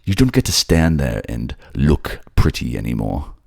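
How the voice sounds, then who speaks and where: Man acting a gravelly, scornful, very deep tyrant king. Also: big pitch swings, moderate pace, darkish tone, dry recording, studio quality, exaggerated voice. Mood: angry, impatient. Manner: scornful, sarcastic, cursing. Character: harsh, sneering, furious tyrant king. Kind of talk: dramatic ASMR roleplay.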